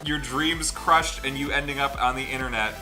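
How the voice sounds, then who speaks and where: A man's voice: medium pitch, steady tone, normal speed, rough recording. A man hosting a casual group let's play, reading the game's text aloud and chatting in a jokey way. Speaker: gaming channel host reading a visual novel aloud. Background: game music behind the voice.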